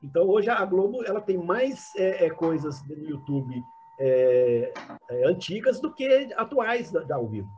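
A Brazilian man giving spontaneous academic commentary as a panelist, halting and repeating words as he searches for phrasing, over dull online call audio. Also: on the high side, sing-song, warm tone, slight background noise.

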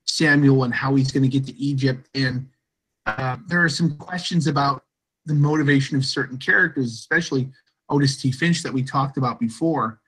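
A man's voice: medium pitch, fairly bright tone, poor audio. A man hosting a podcast, interviewing guests in a hesitant way and often repeating words. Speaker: podcast host.

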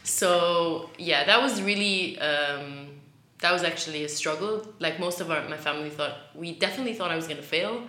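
A woman's voice: fairly low pitch, moderate pace, reverberant. A young woman from Egypt answering interview questions casually, hesitant, with fillers and restarts. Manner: hesitant, many fillers and restarts, laughs softly. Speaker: guest, graduate student.

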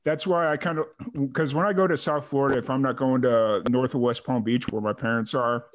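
A man's voice: mid-range voice, steady tone, brisk. Rambling friendly male interview host. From Chicago. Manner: rambling, friendly, hesitant with "uh" and restarts. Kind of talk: casual interview chat.

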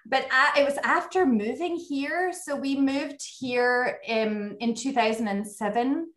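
A woman's voice: high-pitched, measured pace, fairly bright tone, roomy sound. A woman from Scotland with a Scottish accent, a guest reflecting thoughtfully in a casual interview, with frequent fillers and restarts.

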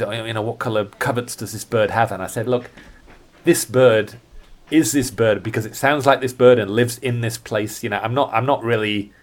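A man chatting casually as a birding guide on a podcast, thinking aloud and often rephrasing himself as he goes. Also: lowish voice.

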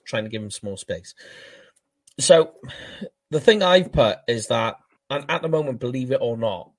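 A Welsh man with a Welsh accent co-hosting a fan podcast, talking football in a casual, animated way and laughing at himself. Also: medium pitch, husky, no room sound. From Wales. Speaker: podcast co-host. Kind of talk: casual sports chat.

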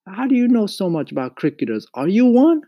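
Man putting on a scolding, high-pitched immigrant mama. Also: sing-song, a little fast, dry recording, dull audio, exaggerated voice. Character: stern scornful immigrant mother, fussy, resigned underneath. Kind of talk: acted storybook reading.

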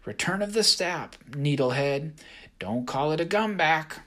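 A man voicing a tiny, brash, feisty female sap doll who trash talks loudly. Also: a little high, animated, unhurried, pitched above the performer's own voice. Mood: taunting. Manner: loud, boastful trash talk.

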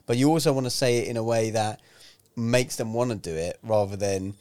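A man's voice: medium pitch, fairly quick, darkish tone, clean tone. A Greek-born man in his forties, a public speaking coach, chatting casually and explaining his points with examples. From Greece. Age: 40s. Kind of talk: casual podcast chat.

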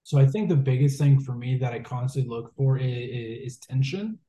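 Man in his twenties, very dark warm tone, repeated words and fillers, echoey room. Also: medium pitch, a little fast, slight background noise. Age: 20s. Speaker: interview guest who stutters. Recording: roomy echo.

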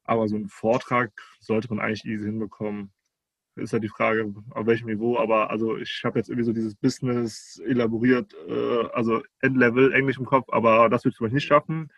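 A young man talks casually about himself, loose and slangy, sometimes hesitant. His voice sits at an ordinary pitch. The sound is dry, with no room echo. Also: little pitch movement, brisk, a bit bright. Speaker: prospective doctoral candidate. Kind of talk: casual conversation.

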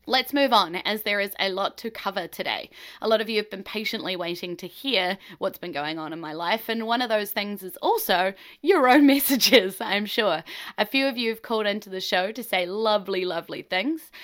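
A woman's voice: medium pitch, a bit bright. A woman hosting her own podcast, talking reflectively and conversationally to listeners. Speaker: podcast host. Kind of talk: solo podcast talk.

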